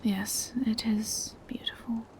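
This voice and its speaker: Woman as a slow, whispery, bashful vampire. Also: a little high, flat delivery. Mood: tender, teasing. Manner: soft, hesitant, breathy half-whispers. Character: gentle, bashful female vampire in monstrous true form, lovestruck. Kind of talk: intimate roleplay audio acting.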